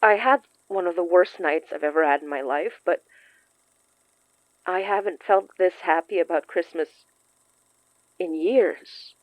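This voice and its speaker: A woman acting in a fiction podcast as a shaken, earnest young woman who confides shyly in halting phrases. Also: lowish voice, dry recording, muffled recording, close to an everyday voice. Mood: wistful. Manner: hesitant, halting, confessional.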